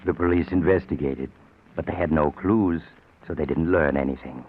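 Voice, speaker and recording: The sardonic narrator of a 1940s radio mystery. His voice is extremely deep and husky, telling a crime tale with sinister wryness over a tinny vintage broadcast. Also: normal speed. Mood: wry.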